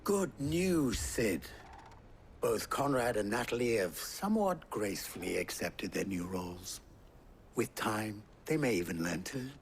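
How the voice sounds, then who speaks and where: Sly courtly fixer, lowish lively voice, florid, fantasy game, music underneath. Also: male voice, measured pace, a little hiss or hum. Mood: pleased. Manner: florid, rhetorical. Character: smooth theatrical male fixer, sly, courtly and goading. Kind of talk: dramatic video game acting.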